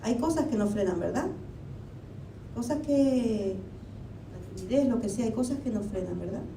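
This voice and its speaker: Woman preacher, high mellow voice, chatty sermon full of questions, roomy hall with the mic set back. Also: expressive, moderate pace. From Argentina. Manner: conversational preaching with rhetorical questions. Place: church hall. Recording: echoey hall, mic at a distance, faint rumble.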